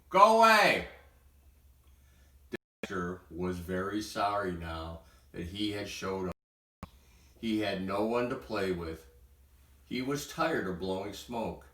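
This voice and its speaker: A man reading a children's bedtime story aloud in an expressive storytelling style. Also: low-pitched. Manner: expressive, acts out sound words. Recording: roomy echo, distant from mic.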